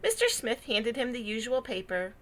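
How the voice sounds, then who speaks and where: Lady doing storytime for kids, really swoopy expressive voice, bright and dramatic. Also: a little high, normal speed. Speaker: storyteller reading a picture book aloud to children. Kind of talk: animated read-aloud narration with asides.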